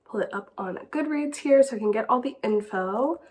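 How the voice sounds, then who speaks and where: A woman's voice: a little high, animated, normal speed, a little mellow. A young woman with a stuffy, congested nose chats casually as host of her book channel, giggling and saying "like" often. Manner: chatty, giggly, says "like" often. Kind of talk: casual vlog chat.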